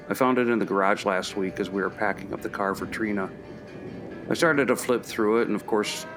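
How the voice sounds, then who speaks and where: A man's voice: fairly low pitch, lightly acted. A man acting in a radio play as an introspective middle-aged professor, speaking in a hesitant, reflective, conversational way. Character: introspective, kindly anthropology professor, a parent.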